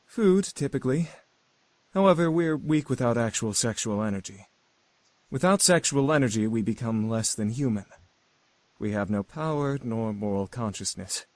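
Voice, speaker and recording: A man acting an earnest, reserved young male demon in a visual novel, speaking in measured, formal phrases. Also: medium pitch, animated, a little mellow, no room sound, lightly acted. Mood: earnest, explanatory.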